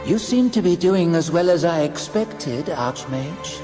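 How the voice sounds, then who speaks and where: A woman's voice: fairly low pitch, expressive, a little slow, over music. An actress playing a cool, steely female elf warden in a fantasy audio drama, clipped and unimpressed.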